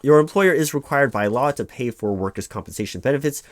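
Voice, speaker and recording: A man's voice: mid-range voice, animated, fast talker, no room sound, natural voice. A man playing an officious, evasive company clerk reading paperwork aloud in a one-sided ASMR roleplay, with bureaucratic, hedging reassurance. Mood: officious, procedural. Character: officious, evasive male company clerk walking an injured employee through forms.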